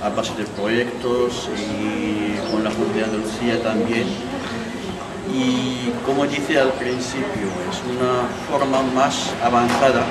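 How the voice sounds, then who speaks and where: A man from Morocco, not a native speaker of the language, answering interview questions haltingly, searching for words with many fillers. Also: mid-range voice. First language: not Spanish. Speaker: faculty official interviewed. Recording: echoey room, far from mic, loud crowd noise.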